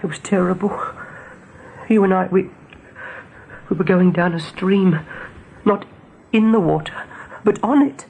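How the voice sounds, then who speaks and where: A woman's voice: on the low side, measured pace, creaky, long pauses, a little mellow. A woman playing a small, young, fearful runt rabbit who sees visions, speaking with trembling urgency in a radio drama. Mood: shaken, determined. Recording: noisy old radio play recording.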